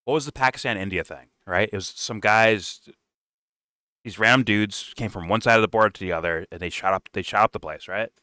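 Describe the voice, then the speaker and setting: A young man in his twenties reacts to a clip in animated, casual commentary that is peppered with swearing. His voice sits a little low but has a forceful edge. The sound is dry and close. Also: fairly quick, dry recording. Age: 20s. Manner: animated, swearing, laughing often. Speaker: reaction show host.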